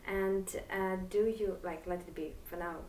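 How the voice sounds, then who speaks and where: A woman's voice: medium pitch, fairly even intonation, moderate pace, a little mellow, a little hiss or hum. A woman interviewer asking questions hesitantly, with many fillers and restarts. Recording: roomy and distant from the mic.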